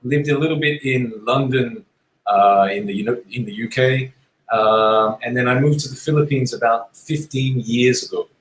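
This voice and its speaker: A man in his thirties who grew up in Australia, a guest boxer chatting casually in an interview, relaxed and self-deprecating, with frequent ums. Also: mid-range voice, normal speed, roomy sound, poor audio.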